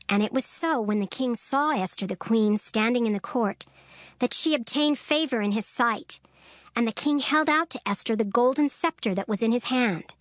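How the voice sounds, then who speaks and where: A woman giving a solemn, measured scripted narration of scripture. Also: a little high, no echo, studio quality. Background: music.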